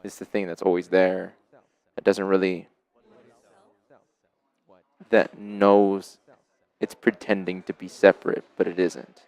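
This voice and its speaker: A man speaks reflectively in a deep voice. It is gravelly and flat in intonation, philosophical in style. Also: slow pace, long pauses, no echo.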